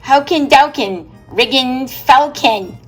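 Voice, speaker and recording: A woman's voice: high-pitched, takes it slowly, breathy edge, faint music behind, pitched above the performer's own voice, exaggerated voice. A woman voicing a little girl who pretends to be a fairy, chanting made-up spells in a sing-song way.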